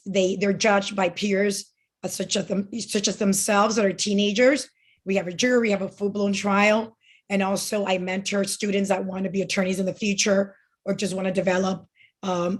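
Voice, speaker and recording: A woman in her forties from Miami, giving an earnest campaign speech as a judicial candidate, with frequent ums and restarts. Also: medium pitch.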